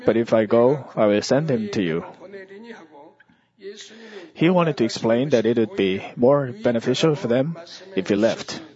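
A man delivering a church sermon in short, measured phrases, as an interpreter would. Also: mid-range voice, a little mellow. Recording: dull lo-fi audio.

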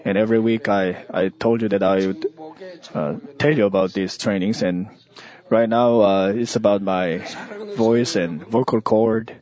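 A man delivering a church sermon in short, measured phrases, as an interpreter would. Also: fairly low pitch, fairly even intonation, darkish tone. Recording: dull lo-fi audio.

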